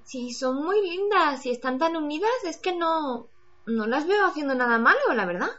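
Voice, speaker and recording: A woman's voice: sits high, expressive, normal speed, pushed and bright. A woman playing a cheerful, trusting, girlish prefect in an amateur radio play. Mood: chatty. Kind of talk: amateur Spanish-language radio play acting. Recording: muffled lo-fi home recording.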